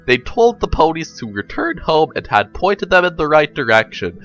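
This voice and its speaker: A man's voice: on the high side, sing-song, normal speed, fairly bright tone, highs cut off. A young man giving a dramatic reading of comic fiction, narrating between character lines. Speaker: reader.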